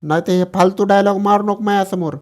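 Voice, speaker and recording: A man's voice: sits high, steady tone, fast, heavy background noise, natural voice. Guy doing a grumpy mocking village dad for a comedy cartoon, scolds and threatens. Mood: scornful, threatening. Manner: sarcastic, threatening, blustering. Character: scolding, mocking village father, short-tempered. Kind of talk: exaggerated comedy cartoon dialogue.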